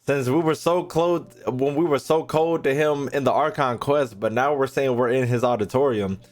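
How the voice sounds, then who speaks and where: A man hosting a game playthrough, reacting in casual, slangy, profane speech and low-key interjections. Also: moderate pace. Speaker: gaming video host. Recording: game music behind the voice.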